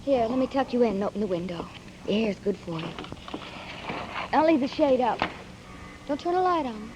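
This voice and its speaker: A woman's voice: on the high side, expressive, normal speed, lightly acted. An actress playing an anxious, doting former nurse in a 1940s radio drama, cooing endearments to her man. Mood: soothing. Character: possessive tender woman, Ruth, ex-nurse. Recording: dull old radio recording with faint hiss.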